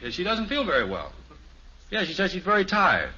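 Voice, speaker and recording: An actor playing a wry suburban father in an old-time radio family sitcom, excitable and easily exasperated. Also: sits high, fairly quick, breathy edge, heavily acted. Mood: flustered. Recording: muffled old radio recording, faint hiss.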